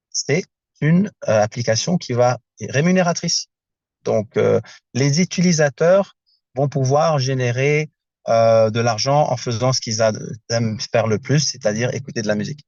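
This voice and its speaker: Halting man on a dull, dry video call. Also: mid-range voice, darkish tone, no echo. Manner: halting, searching for words in a second language. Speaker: founder presenting a project. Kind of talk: casual video-call presentation. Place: inside a car.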